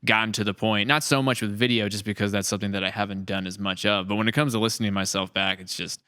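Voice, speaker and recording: Deep, crisp-voiced man hosting a podcast. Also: steady tone, fast talker, bright, forceful tone, no echo, studio quality. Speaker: podcast host. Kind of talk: casual podcast interview.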